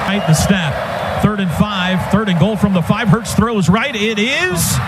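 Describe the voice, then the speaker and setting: A man calling American football play-by-play on radio in clipped, rapid, excitable phrases. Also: high voice, over a noisy crowd. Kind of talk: live sports commentary. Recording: outdoor stadium radio booth, clear background noise.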